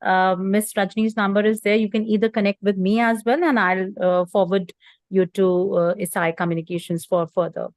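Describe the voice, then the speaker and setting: A woman's voice: mid-range voice, little pitch movement, normal speed, no echo. Courteous woman hosting, dry close sound. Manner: courteous, formal. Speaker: host of online student session. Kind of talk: polite closing remarks.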